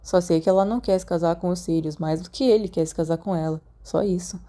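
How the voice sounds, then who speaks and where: A woman reads as an earnest young schoolboy wizard with secrets. The tone is soft and dark. He speaks in hurried, halting bursts, guarded, as in storybook dialogue. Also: fairly low pitch, fairly even intonation, natural voice. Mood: guarded, insistent.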